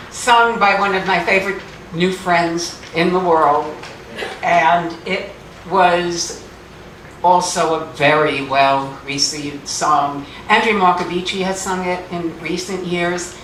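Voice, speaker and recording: A woman from Brooklyn with a Brooklyn accent, hosting a cabaret show, loud, chatty and quick as she introduces singers. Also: fairly low pitch, a little hiss or hum. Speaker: host. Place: cabaret club stage. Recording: echoey club, mic at a distance. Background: chattering audience.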